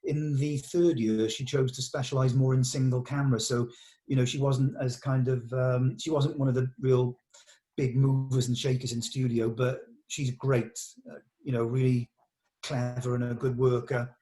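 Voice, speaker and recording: Male, mellow dark tone, mid-pitched, informal meeting talk, explanatory with searching pauses. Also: flat delivery. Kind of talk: informal production meeting discussion.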